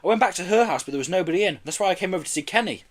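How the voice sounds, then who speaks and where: Man doing an anxious, high-pitched storybook boy. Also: lively intonation, very fast. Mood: polite. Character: Joe, anxious quick-thinking boy from Liverpool. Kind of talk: children's storybook character voice.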